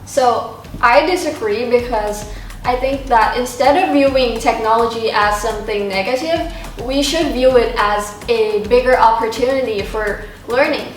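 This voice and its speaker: A woman's voice: a little high, a little slow. A teenage female student, a native Thai speaker, talking about school in a semi-prepared discussion. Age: teenager, high school student. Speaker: student panelist. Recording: echoey room, distant mic, music behind.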